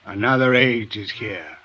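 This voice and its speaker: Aging drunken cynic, florid formal diction, slow delivery, world-weary, 1950s radio drama, dull old recording. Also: male voice, fairly low pitch. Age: older. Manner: florid, formal, theatrical speech. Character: bitter drunken aging former friend of a racketeer, world-weary. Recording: dull vintage radio recording, music behind.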